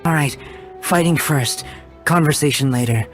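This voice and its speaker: A man playing a calm, reassuring young scholar in a video game, measured and courteous. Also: a little high, faint music behind, natural voice.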